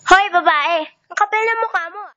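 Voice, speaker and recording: A woman's voice: very high-pitched, moderate pace, sharp tone, muffled recording. A young woman playing a feisty, boastful, hot-tempered teenage girl in an amateur radio drama, with a sassy, confrontational delivery. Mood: angry.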